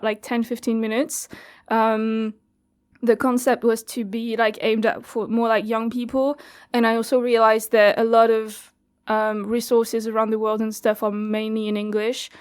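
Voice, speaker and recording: A woman's voice: fairly high pitch, clear, resonant tone. A French woman in her twenties, chatting casually as an interview guest, with frequent laughs and fillers. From France. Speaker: guest, youth radio studio coordinator.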